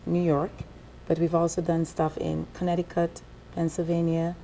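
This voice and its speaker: A woman's voice: fairly low pitch, completely flat delivery, moderate pace, vocal fry, dark tone, a little hiss or hum, rough recording. A woman from Dominica, a singer in a duo, answering interview questions in a composed and articulate way. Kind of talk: interview guest.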